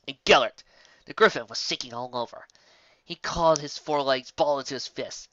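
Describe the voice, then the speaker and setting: A man's voice: medium pitch, sharp tone, dry recording, muffled recording. A man reading a fantasy story aloud in a theatrical, dramatic way. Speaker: narrator, reader. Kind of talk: expressive amateur fan fiction reading.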